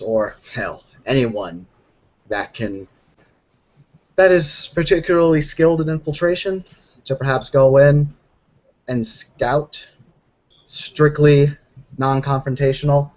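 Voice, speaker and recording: Measured man roleplaying in short phrases. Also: mid-range voice, lo-fi sound. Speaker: tabletop role-player. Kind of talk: improvised in-character roleplay.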